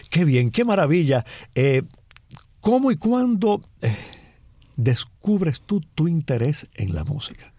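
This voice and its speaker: A man's voice: fairly high pitch, very animated, takes it slowly, warm tone, no echo. A man hosting a radio interview, formal and effusive in his praise. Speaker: radio host.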